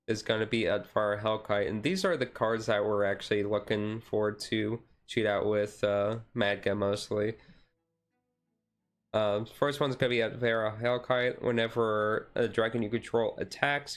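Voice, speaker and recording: A man giving casual commentary on a card deck as a streamer, thinking aloud in a halting way. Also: lowish voice, fairly even intonation, faint music behind.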